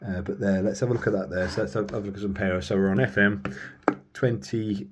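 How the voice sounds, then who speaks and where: Low-voiced man rambling over background noise. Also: fairly even intonation, fast talker. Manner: rambling, thinking aloud. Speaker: radio hobbyist presenter. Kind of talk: casual hands-on gear demonstration.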